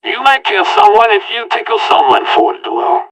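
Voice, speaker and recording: A man narrates an amateur audio-log tale in the first person, earnest and a little rambling. His pitch sits slightly high and leaps around in lively jumps. He sounds distant from the microphone. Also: moderate pace. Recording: voice far from the mic.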